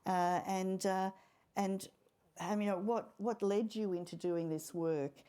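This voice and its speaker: A woman moderating a panel discussion, introducing guests and asking questions in a measured, slightly hesitant, courteous way. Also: mid-range voice, fairly even intonation, dark tone, clear, resonant tone.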